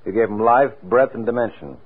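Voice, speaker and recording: An actor playing a quick-witted, self-assured, flirtatious American private detective in a 1940s radio drama, wry and smooth-talking. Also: male voice, mid-range voice, natural voice. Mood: cool, accusing. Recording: tinny, muffled old radio recording, music.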